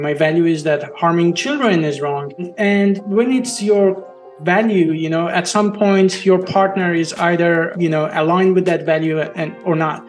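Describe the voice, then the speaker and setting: A man whose first language is Farsi, hosting an interview and speaking in an earnest, measured way. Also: high voice, darkish tone. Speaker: host. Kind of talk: interview conversation.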